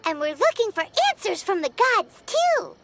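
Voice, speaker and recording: An actress playing a tiny, perky, childlike fairy companion in a video game, with a squeaky cartoonish voice. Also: female voice, sing-song, moderate pace, gravelly, a bit bright, soft background music, full character voice. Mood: excited.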